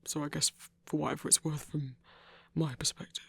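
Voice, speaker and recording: Shy, halting werewolf hunter played by a man, very husky. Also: fairly high pitch, little pitch movement, no room sound. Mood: earnest, flustered. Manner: stammering, halting, earnest. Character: shy, socially awkward male werewolf hunter, gentle and earnest. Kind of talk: intimate ASMR audio roleplay.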